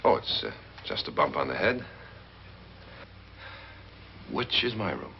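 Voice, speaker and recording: An actor playing an easygoing, skeptical pilot in a 1950s horror film, talking in a casual, hesitant way. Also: male voice, extremely low pitch, sing-song, a little slow, creaky, full character voice. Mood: awkward, polite. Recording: muffled vintage film soundtrack, faint noise.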